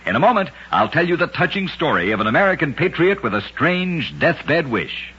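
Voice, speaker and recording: A man tells curious tales in the manner of an old-time radio narrator, dramatic and deliberate. His voice sits fairly high and is forceful, with a rough husky edge. It reads like scripted broadcast storytelling. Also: very animated. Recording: dull old radio recording.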